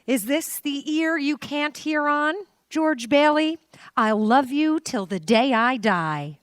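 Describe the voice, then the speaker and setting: A woman's voice: sits high, animated, takes it slowly, no echo, clean studio sound. A woman playing a sweet, forthright young girl with a girlish, direct manner in a radio play. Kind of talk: live radio play acting.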